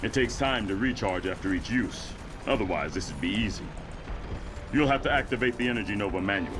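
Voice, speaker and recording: An actor playing a composed, honorable military general in a video game, speaking in a measured, formal briefing manner. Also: male voice, fairly low pitch, animated, over music, natural voice. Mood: businesslike.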